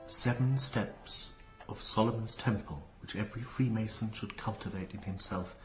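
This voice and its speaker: An actor playing an earnest, brooding young nobleman in a radio drama, solemn and halting in manner. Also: lowish voice, faint music behind, close to an everyday voice, poor audio. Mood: solemn reading.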